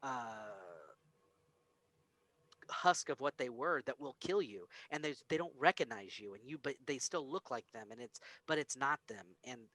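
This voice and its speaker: A man with a forward, bright voice hosts a casual movie podcast. He speaks emphatically and with plenty of enthusiasm, at a middle pitch. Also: no echo. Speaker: podcast host. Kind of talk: casual film discussion chat.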